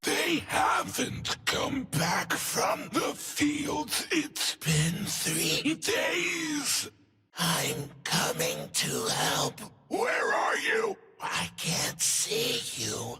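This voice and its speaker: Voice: high voice, wildly swooping pitch, sharp tone, shouting, faint music behind, exaggerated voice. An actor in a video game voicing an uncanny scarecrow demon that mimics the cries of terrified victims in a distorted, harsh voice. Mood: panicked. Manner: drawn-out, eerie, uncanny mimicry.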